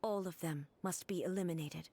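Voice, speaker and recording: Woman playing a solemn, soft-spoken divine ruler. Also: lowish voice, background noise, lightly acted. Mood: grave. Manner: measured, grave, soft-spoken. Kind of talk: video game cutscene dialogue.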